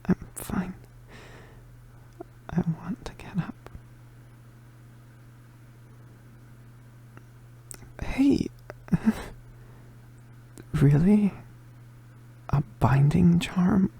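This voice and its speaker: A woman playing a gentle, protective young male witch who is hurt and vulnerable, speaking in halting, breathy, whispered ASMR roleplay. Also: on the low side, full character voice, poor audio. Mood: stubborn, amused. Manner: halting, stammering, hesitant. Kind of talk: ASMR roleplay, soft intimate acting.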